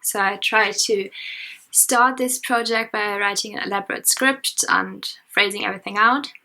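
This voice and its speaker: A woman's voice: mid-range voice, normal speed, gravelly, sharp tone, poor audio. A nineteen-year-old woman with a German accent, a student talking through an unscripted vlog in a chatty, self-conscious way with many fillers. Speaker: history and sociology student. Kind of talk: unscripted casual vlog commentary. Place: her parents' house at night.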